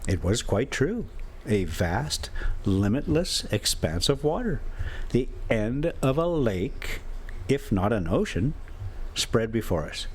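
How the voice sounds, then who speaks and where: Male American vlogger-storyteller. Down-home and informal, medium pitch with big melodic swings, easygoing amateur delivery. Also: sing-song, slow pace, slightly rough. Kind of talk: casual rambling chat mixed with reading a classic novel aloud.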